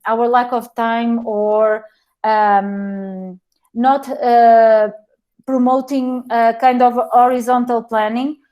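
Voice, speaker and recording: A woman with a Portuguese accent presenting a webinar over a video call, halting often and restarting her sentences. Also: a little high, mellow. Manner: hesitant, frequent pauses and restarts.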